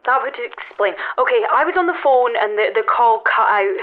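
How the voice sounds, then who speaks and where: A woman's voice: fairly high pitch, lively intonation, moderate pace. Wary female adventurer, hesitant, naturalistic audio fiction, tinny telephone filter. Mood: uneasy, hesitant. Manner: hesitant, questioning, uneasy. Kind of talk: naturalistic audio drama acting. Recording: tinny phone line with faint music.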